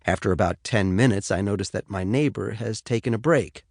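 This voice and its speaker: A man's voice: on the low side, a little fast, gravelly, darkish tone, no echo. An American man reading first-person fiction as an audiobook narrator, in a studio. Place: dry studio.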